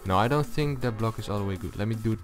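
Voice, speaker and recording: A man with a low voice giving a casual, unscripted gaming tutorial, thinking aloud as he goes. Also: rapid-fire. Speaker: video tutorial host. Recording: music behind the voice. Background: loud music.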